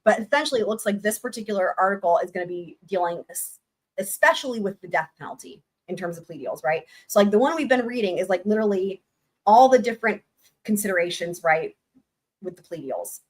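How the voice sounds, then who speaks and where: A woman hosting a casual livestream, excited and rambling, her voice going hoarse. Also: mid-range voice. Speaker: livestream host.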